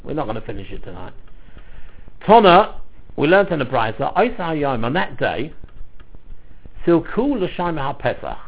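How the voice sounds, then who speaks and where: A man gives a Talmud lesson in a high voice that creaks and swings widely in pitch. He reads a phrase, translates it and explains it, often repeating himself, on a slightly dull recording. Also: moderate pace, long pauses. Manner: animated explaining, repeats phrases and stumbles over words. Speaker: Talmud teacher. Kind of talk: line-by-line religious lesson, reading and translating.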